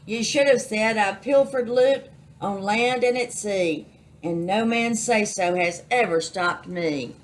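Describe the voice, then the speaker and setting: A woman voicing a gruff, cranky, demanding captain from a storybook, bellowing in salty dialect. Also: medium pitch, unhurried, a bit bright, lightly acted. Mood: scolding, emphatic. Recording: noticeable background noise.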